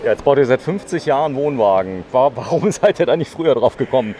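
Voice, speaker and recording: A man's voice: mid-range voice, animated, quick pace, dark tone. A man doing a casual, chatty on-site interview as a reporter. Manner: chatty, adds short tag questions. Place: caravan at an indoor trade fair. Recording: clear background noise of busy trade fair.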